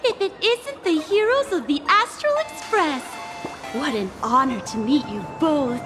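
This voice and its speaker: A sweet, overeager woman recruiting passers-by. Her high voice swings with eager charm. Loud game music plays behind. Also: expressive, measured pace. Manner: sweet, pushy, inviting. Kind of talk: video game voice acting.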